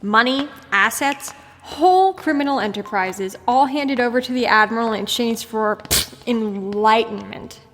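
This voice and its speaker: A woman's voice: fairly high pitch, normal speed, a bit bright. An actress playing a smug, sarcastic female supervillain with a mocking, theatrical manner in an audio drama. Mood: smug, gloating. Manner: mocking, theatrical, breezily threatening. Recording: long echoing reverb, faint noise.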